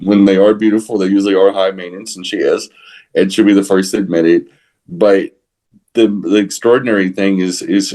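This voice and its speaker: Deep-voiced Texan man, halting talker. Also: darkish tone. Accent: Texas. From San Antonio, Texas. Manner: halting, repeating words and rephrasing. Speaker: podcast co-host. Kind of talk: casual opinionated podcast talk.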